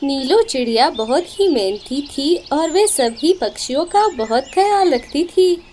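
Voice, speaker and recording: Casting: female storyteller for children's cartoons, very high voice, scripted delivery, slight background noise. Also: animated, measured pace, a little mellow. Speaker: storyteller, narrator.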